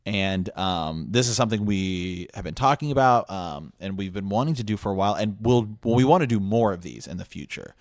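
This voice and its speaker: A man's voice: lowish voice, expressive, a little fast, few pauses, no room sound, studio quality. A man hosting a podcast, making a casual announcement in an earnest way and often repeating his phrases. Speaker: podcast host.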